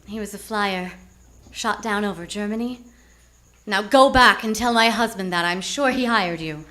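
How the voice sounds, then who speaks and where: A woman's voice: medium pitch, normal speed, sharp tone, lightly acted. A woman playing a proud, secretive and anxious client in a 1940s-style radio detective drama, earnest and melodramatic. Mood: wounded, proud. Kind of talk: 1940s radio noir acting. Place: live radio drama on a theatre stage.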